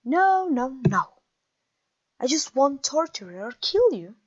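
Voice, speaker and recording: A woman voicing an imperious, vengeful vampire queen in a fantasy audio roleplay, taunting a captive with theatrical, deliberate pauses. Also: sits high, sing-song, highs cut off, exaggerated voice. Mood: scornful, gloating. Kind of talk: scripted ASMR fantasy roleplay audio drama.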